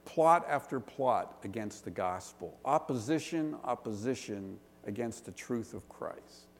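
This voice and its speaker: Male pastor, Western Pennsylvania accent, gravelly, preaching in a reverberant church hall. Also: medium pitch, lively intonation. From Pittsburgh area, Western Pennsylvania. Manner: earnest preaching with rhetorical questions and slow emphatic lists. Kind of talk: sermon. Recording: long reverb of a large hall.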